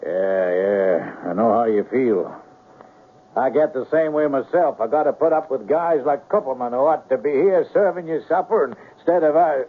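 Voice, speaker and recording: Husky, grumbling police sergeant played by a man. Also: mid-range voice, normal speed, natural voice. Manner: gruff, colloquial, sardonic. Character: grumbling, folksy small-town male police sergeant. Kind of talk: 1970s radio drama acting. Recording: muffled, phone-like old radio recording.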